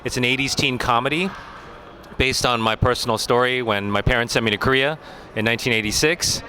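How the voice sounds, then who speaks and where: An American man speaks as a film director in a relaxed, unhurried festival interview, often pausing with fillers. His voice is bright and clear at a middle pitch. Faint traffic noise is in the background. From United States. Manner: relaxed, thoughtful, many fillers.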